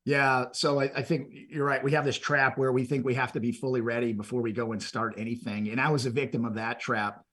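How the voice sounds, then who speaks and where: A man's voice: medium pitch, steady tone, brisk, hardly any pauses, fairly bright tone, breathy edge. A middle-aged man from Texas, telling stories and giving motivational advice in an earnest, conversational way as a podcast guest. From Texas, USA. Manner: earnest, motivational, repeats words when starting phrases. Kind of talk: conversational storytelling.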